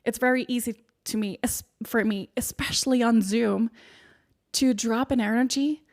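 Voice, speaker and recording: A woman with a soothing, somewhat high voice and a gravelly edge hosts her podcast, coaching listeners on public speaking. She works through her advice in numbered points with steady encouragement. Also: moderate pace. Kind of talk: solo podcast advice monologue. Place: home studio in the UK.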